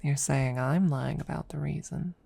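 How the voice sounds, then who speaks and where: A woman voicing a haughty, centuries-old dragon rival who is secretly smitten, speaking haltingly in a close-miked ASMR roleplay. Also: sits low, gravelly, dark tone, husky, heavily acted. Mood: haughty, accusing. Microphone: close microphone.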